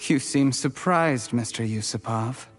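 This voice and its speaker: An actor playing a cold, ambitious official in a video game who has turned poisoner, speaking with calm, gloating deliberation. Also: male voice, medium pitch, measured pace, natural voice. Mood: bitter. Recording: music behind the voice.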